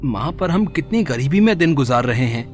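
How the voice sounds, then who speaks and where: A man's voice: medium pitch, lively intonation, moderate pace, darkish tone, soft background music, close to an everyday voice. A man voicing a poor, devoted village son in a moral story cartoon, earnest and pleading. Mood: worried.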